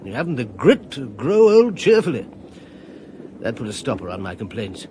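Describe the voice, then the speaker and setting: A man's voice: medium pitch, big pitch swings, normal speed, warm tone, slight background noise, lightly acted. An actor narrating as a wry, reflective middle-aged man. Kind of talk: radio drama narration.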